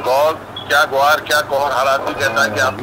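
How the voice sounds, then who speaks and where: A man's voice: mid-range voice, little pitch movement, a little fast, crowd noise. An older man complaining in an exasperated way in a recorded phone call. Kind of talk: aggrieved talk in a recorded call. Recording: noisy played-back phone call recording.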